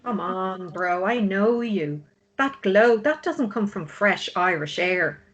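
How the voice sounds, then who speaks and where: An actress playing a caring, insistent confidante with an earnest manner in a drama table read. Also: female voice, mid-range voice, expressive, slow pace, a bit bright, lo-fi sound. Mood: teasing. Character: caring, insistent confidante urging a man onward.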